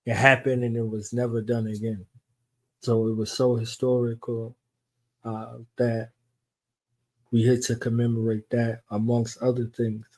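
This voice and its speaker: A man hosting a radio talk show, introducing his guest in an energetic, repetitive way. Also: medium pitch, a little slow. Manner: hype-style, repeats "you understand what I'm saying". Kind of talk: casual interview introduction.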